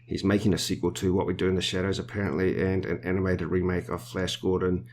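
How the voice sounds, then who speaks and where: A New Zealand man hosting a podcast, explaining film news in a measured way with small restarts. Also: deep voice, level tone, a little mellow. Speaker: podcast host. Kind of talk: casual podcast discussion.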